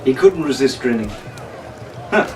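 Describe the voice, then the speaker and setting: A man's voice: a little high, lively intonation, normal speed, soft background music. A man narrating an adventure story in a dramatic, scripted audiobook style. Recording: roomy, distant mic, faint noise.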